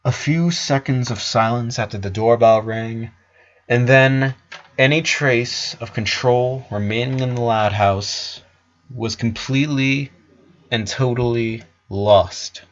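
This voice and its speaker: A man's voice: medium pitch, steady tone, takes it slowly. A man narrating a story in a dramatic reading style. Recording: dull lo-fi sound.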